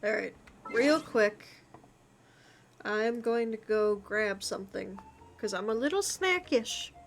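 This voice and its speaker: Casual woman streamer, slightly high voice. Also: lively intonation, slow pace, a little hiss or hum. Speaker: game streamer. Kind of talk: casual live stream chat.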